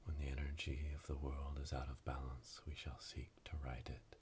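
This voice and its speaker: Casting: male performer as solemn coven witch for ASMR roleplay, very deep, hushed breathy whisper, flat ceremonial delivery. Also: moderate pace, slight background noise. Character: solemn witch elder leading a coven initiation. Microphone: close binaural microphone.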